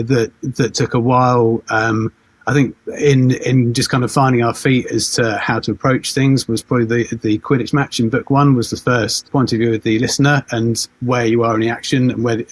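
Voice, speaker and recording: Husky, even-toned man, halting talker. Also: medium pitch, no room sound. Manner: halting, repetitive, hesitant phrasing. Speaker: audio production creative. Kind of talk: casual panel interview talk.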